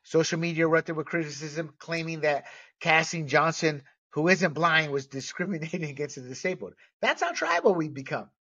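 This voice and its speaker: A middle-aged American man giving opinionated solo commentary, emphatic and halting, stretching out key words. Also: on the high side, lively intonation, sharp tone. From United States. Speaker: movie commentator. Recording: dull, lo-fi sound.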